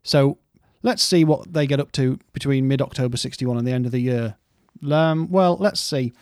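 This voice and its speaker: A man's voice: mid-range voice, moderate pace, a little mellow, no echo, clean studio sound. A man co-hosting a casual music history podcast, rambling through stories in a playful way.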